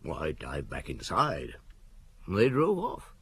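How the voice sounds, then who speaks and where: An actor in an audio drama playing a shrewd, unhurried police chief with a husky voice and a terse, probing manner. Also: male voice, extremely low pitch, wildly swooping pitch, fairly bright tone, no room sound, exaggerated voice. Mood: wry, recounting.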